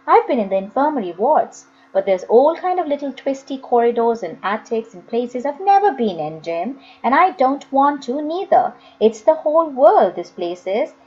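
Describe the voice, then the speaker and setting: Lady reading as a bent old workhouse man, simple and confiding, rustic talk, high lilting voice, storybook. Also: big pitch swings, moderate pace, few pauses, a little mellow, lo-fi sound. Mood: wistful, confiding. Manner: rustic, uneducated speech. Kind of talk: dramatised audiobook reading.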